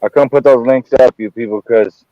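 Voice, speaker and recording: A man's voice: mid-range voice, steady tone, moderate pace, mellow, dry recording, lo-fi sound. A man hosting a casual livestream chat, talking in a laid-back way and trailing off, recorded on a phone. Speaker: host.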